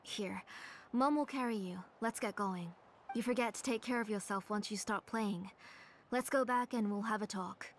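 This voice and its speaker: A woman's voice: mid-range voice, moderate pace, slight background noise, lightly acted. A woman voicing a composed, caring but firm mother in a video game.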